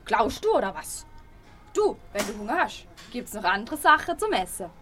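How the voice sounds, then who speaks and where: A woman's voice: on the high side, very animated, normal speed, fairly bright tone, soft background music. A woman playing a brash, sleazy, pushy young gangster rapper in a radio play. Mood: cocky, pushy. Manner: cocky, slangy, wheedling.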